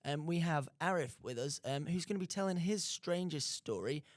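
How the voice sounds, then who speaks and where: A man's voice: on the high side, expressive, normal speed, no echo. A man hosting a live radio show from a studio. He jokes with his guest and rambles with lots of restarts and fillers. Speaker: radio host. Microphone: studio mic.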